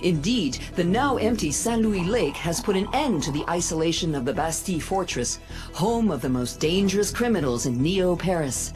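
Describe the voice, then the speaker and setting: A woman's voice: fairly low pitch, expressive, moderate pace, close to an everyday voice. A woman playing a composed TV news anchor in a video game, delivering a formal, scripted bulletin. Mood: formal, grave. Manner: formal, factual newsreader delivery. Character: composed female TV news anchor in a futuristic city. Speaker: news reporter. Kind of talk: scripted broadcast news report. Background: prominent music.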